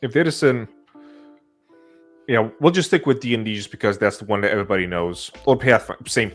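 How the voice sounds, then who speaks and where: A man moderating a casual discussion, posing questions in a measured, plain-spoken way. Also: lowish voice, soft background music.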